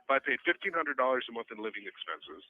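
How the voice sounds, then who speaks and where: A man's voice: mid-range voice, big pitch swings, fast talker, no echo. A man in his forties talking casually from a jail phone, telling his story in emphatic, profane slang. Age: 40s. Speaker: inmate phoning in to a stream. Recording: tinny, band-limited jail phone line.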